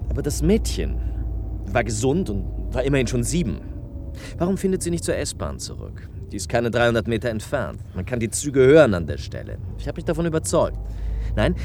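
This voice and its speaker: A man playing an inquisitive, wry journalist in a radio play, speaking in naturalistic, probing dialogue with an ironic edge. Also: medium pitch. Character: inquisitive, wry male journalist with a sceptical streak. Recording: loud car and traffic noise.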